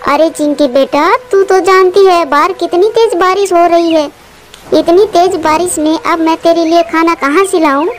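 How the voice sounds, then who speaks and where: A woman's voice: very high voice, fairly even intonation, moderate pace. A woman voicing a worried, loving mother bird in a cartoon, pleading and tender.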